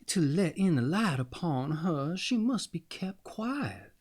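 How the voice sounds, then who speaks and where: A man's voice: a little high, very animated, takes it slowly, darkish tone, breathy edge, pitched above the performer's own voice, heavily acted. A man voicing a wicked, scheming old witch woman from a storybook, coaxing and commanding. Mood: urgent.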